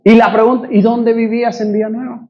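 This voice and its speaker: A man's voice: extremely high pitch, a little fast, dull audio. A male pastor from Guatemala with a Guatemalan Spanish accent, preaching a sermon and telling a personal story to his congregation. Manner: animated storyteller addressing congregation as brothers. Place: church.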